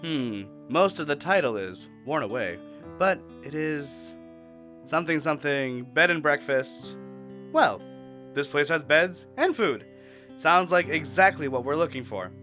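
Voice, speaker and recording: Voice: mid-range voice, lively intonation, a little slow, pushed and bright. An actor playing a brash, teasing young explorer in a radio drama, with a casual, sarcastic manner. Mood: flippant. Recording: faint guitar music behind.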